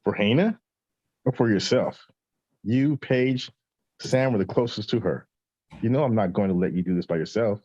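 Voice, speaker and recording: A man's voice: fairly low pitch, normal speed, pauses often, a little mellow, slightly rough, no echo, lo-fi sound. A man playing a skeptical, rational young man in a comic-book table read. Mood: concerned.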